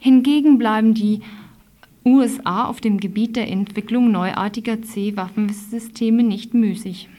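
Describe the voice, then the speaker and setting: A woman's voice: a little high, dark tone. A woman reading a scripted news report aloud as a radio news reader, formal, with an occasional slip.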